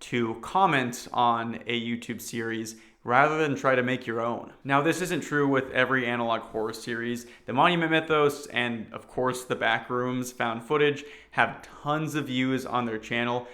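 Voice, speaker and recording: A man's voice: mid-range voice, normal speed, few pauses, pushed and bright. A man giving casual opinion commentary to viewers, speaking in an earnest, emphatic way. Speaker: video commentary host.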